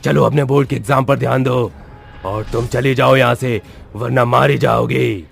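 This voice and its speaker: A man's voice: medium pitch, fairly even intonation, normal speed, gravelly, voice pushed up. Man voicing a menacing vengeful ghost teacher, music behind. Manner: threatening, commanding. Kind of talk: dramatic horror story character acting.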